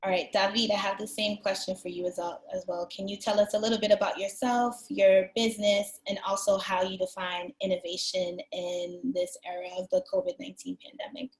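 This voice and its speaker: A woman moderating a video-call panel, asking questions politely and at a measured pace. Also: medium pitch, level tone. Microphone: video call webcam. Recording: echoey room.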